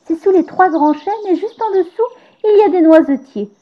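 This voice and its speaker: A woman voicing a sweet, obedient little girl in a storybook tale, high and eager. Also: normal speed, muffled recording. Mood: cheerful. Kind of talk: storybook character voice.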